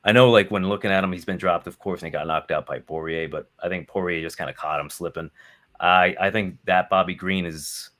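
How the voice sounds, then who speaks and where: A man's voice: sits low, fairly even intonation, fairly quick, fairly bright tone. A man talking as a podcast guest, rambling with lots of fillers and casual swearing. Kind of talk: casual chat.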